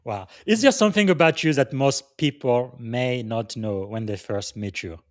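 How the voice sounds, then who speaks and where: A man from Congo hosts a live podcast with an upbeat, emphatic delivery and plenty of fillers between phrases. His voice sits at an ordinary pitch for a man. The recording is dry, with little room sound. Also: moderate pace, dry recording. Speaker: podcast host.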